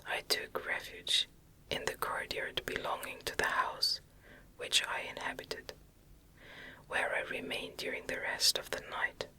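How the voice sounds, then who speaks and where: Slow, fully whispered ASMR narrator. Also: poor audio. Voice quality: breathy, unvoiced whisper. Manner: slow, deliberate, soft delivery. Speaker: ASMR narrator reading a novel aloud. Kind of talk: whispered ASMR audiobook reading of gothic fiction.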